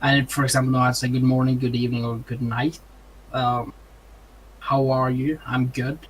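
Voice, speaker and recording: This young man speaks English with a Norwegian accent, pausing often to search for words. His pitch sits in an ordinary middle range, and a faint noise runs under the recording. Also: flat delivery, rough recording. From Norway. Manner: hesitant, many ums and uhs. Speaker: streamer, interview guest. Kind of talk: casual interview answers.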